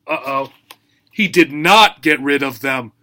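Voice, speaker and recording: A man reads to young children as their teacher, taking his time over simple, short phrases. He prompts his listeners with questions in an animated, instructive way, at an unhurried pace. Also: mid-range voice. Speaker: teacher reading a picture book to young learners. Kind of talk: children's read-aloud lesson, asking questions.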